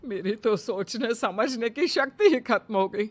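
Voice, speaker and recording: A woman's voice: a little high, expressive, a little slow, a little hiss or hum. A woman voicing an anxious, questioning middle-aged mother-in-law in a cartoon story. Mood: despairing.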